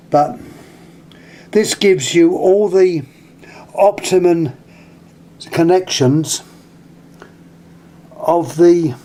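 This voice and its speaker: British man, unhurried halting demonstrator, slightly higher-set voice, informal show-and-tell on a camera mic. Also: warm tone. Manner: halting, pausing mid-phrase while handling things. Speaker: vintage radio collector presenting an item. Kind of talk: casual hands-on demonstration. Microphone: handheld camera, close to the item.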